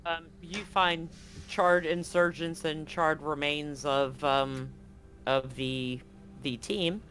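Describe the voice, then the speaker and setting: A man's voice: a little high, steady tone, slow pace, fairly bright tone. A man narrating and refereeing a tabletop roleplaying game as game master, in a conversational, improvised way. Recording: live stream with music underneath. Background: music.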